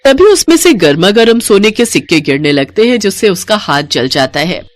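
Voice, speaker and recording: Female storyteller: medium pitch, scripted moral-tale narration. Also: big pitch swings, normal speed, no echo.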